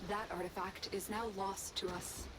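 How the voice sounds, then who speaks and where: A woman voicing a calm female mission handler in a video game, giving composed, measured instructions. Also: mid-range voice, close to an everyday voice. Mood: steady. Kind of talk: scripted video game dialogue. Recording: game audio under loud noise.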